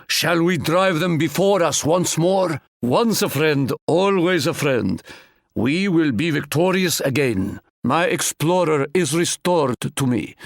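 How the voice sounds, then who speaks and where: Regal boastful sultan, grand measured delivery, proverbs, higher voice, dry close sound. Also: male voice, no echo. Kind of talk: video game voice lines.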